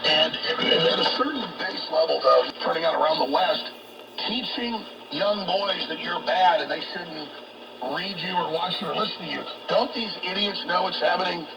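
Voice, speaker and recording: A man's voice: sits high, moderate pace, gravelly. A man talking politics as a guest on a talk show, in an emphatic, ranting manner, heard over shortwave radio. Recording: noisy shortwave radio broadcast, distant.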